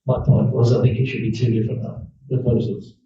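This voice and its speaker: A man councillor makes his point haltingly, stumbling over his words and filling pauses. His voice is very dark and level, with little rise and fall. He sounds far from the microphone in a very echoey hall. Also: medium pitch, flat delivery. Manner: halting, stumbling, many fillers. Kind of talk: formal council meeting. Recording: distant, echoey, dull room mic.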